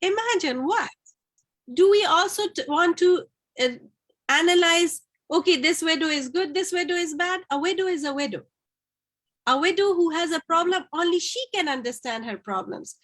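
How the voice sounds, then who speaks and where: A woman panelist with a high-pitched voice presses her points and asks rhetorical questions. She speaks in a firm, emphatic way. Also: expressive, normal speed, a bit bright. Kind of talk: panel discussion.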